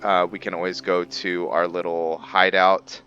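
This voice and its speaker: Slow, deep-voiced man gaming. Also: flat delivery, pushed and bright, lo-fi sound, soft background music. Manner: rambling, thinking aloud with many ums. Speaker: gaming video creator. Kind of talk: casual let's-play commentary.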